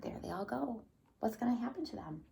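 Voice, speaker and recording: A woman reads a children's story aloud in the manner of a pre-kindergarten teacher. Her voice sits on the high side and swings widely in pitch. The delivery is expressive and simple, meant for small children following the pictures. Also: fairly quick, gravelly, a little hiss or hum. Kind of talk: children's picture book read-aloud with asides to young listeners.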